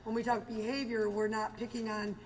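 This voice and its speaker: A woman's voice: on the high side, flat delivery, brisk, long reverb tail, recorded from a distance, crowd noise, low-quality recording. An outspoken, earnest woman speaking up at an election briefing.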